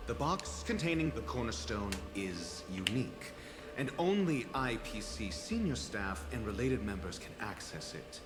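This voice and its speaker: A haughty male scholar from a dramatic game story. His speech is slow and deliberate, with widely swinging, condescending intonation. Loud music plays behind his roomy, echoing voice. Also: medium pitch, very animated. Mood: calculating, explanatory. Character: haughty scholarly doctor, cool calculating male informant. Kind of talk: dramatic video game voice acting.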